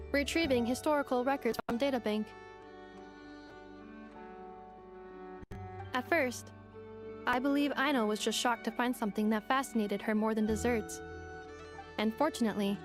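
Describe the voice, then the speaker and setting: Loyal, earnest robot girl woman acting, fairly high voice, formal and composed, game dialogue with faint music. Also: close to an everyday voice. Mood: explanatory. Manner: measured, formal, explanatory. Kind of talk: anime-style video game voice acting.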